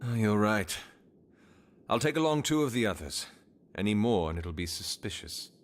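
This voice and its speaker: An actor playing a steady investigator in a fantasy video game, measured, earnest and authoritative. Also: male voice, fairly low pitch. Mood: businesslike. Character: steady, commanding male investigator in fantasy city. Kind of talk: dramatic video game voice acting.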